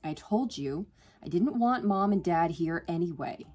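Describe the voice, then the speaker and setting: A woman's voice: fairly low pitch, animated, unhurried, darkish tone, slightly rough. A woman voicing a snarky, irritable young college student in an audiobook, with a sarcastic, snappish manner. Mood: irritated. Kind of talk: audiobook character dialogue.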